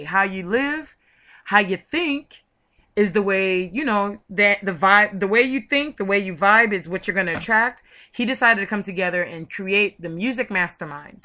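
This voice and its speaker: Female interview host, bright and punchy, effusive promotional chat. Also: mid-range voice. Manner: effusive, gushing. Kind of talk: chatty promotional interview hosting.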